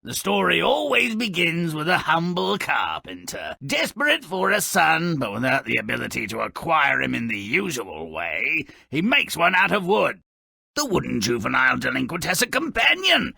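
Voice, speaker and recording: An actor playing a sardonic, scornful gnomish fairy tale narrator in a video game, with a raspy voice and wry theatrical delivery. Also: male voice, mid-range voice, bright, forceful tone, dry recording, studio quality, full character voice. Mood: wry storytelling. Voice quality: gravelly, raspy.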